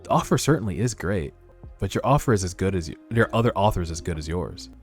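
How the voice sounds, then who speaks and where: Man reading as a low, composed young hunter. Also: lively intonation, fast. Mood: cool, composed. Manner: reserved, terse, self-assured. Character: young male rookie hunter, coolly confident negotiator. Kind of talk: webtoon read-aloud dub. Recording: background music under voice.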